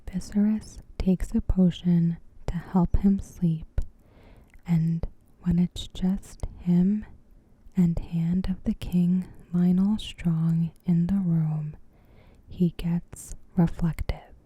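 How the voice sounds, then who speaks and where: A woman narrating an ASMR recap in a soft, breathy whisper, gentle and measured. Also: on the low side, dry recording.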